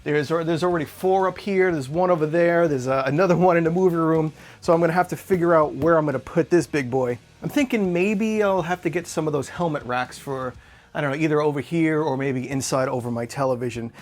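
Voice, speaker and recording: A man's voice: on the high side, fairly quick. A man hosting an unboxing video, chatting casually and enthusiastically in an unscripted product review style. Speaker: collectibles unboxing video host.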